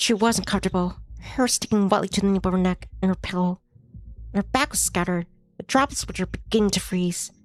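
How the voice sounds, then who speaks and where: A woman's voice: medium pitch, fast talker, no room sound. A woman streamer reading a visual novel aloud as story narration, now and then stumbling over a word and rereading it. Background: music behind the voice.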